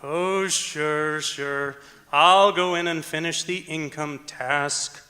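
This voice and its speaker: A man playing an earnest, slow-talking character in an old-time radio comedy performed on stage. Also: a little high, steady tone, bright, forceful tone. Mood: dazed, bewildered. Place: stage of a performing arts auditorium. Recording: echoey auditorium with long reverb.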